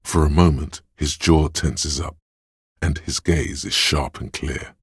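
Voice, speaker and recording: An actor voicing the observant inner voice of a detective in a game, speaking in second person with wry, dry teasing. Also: male voice, a bass voice, fairly even intonation, slow pace, breathy edge, no echo, full character voice. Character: inner perceptive voice, observant adult male.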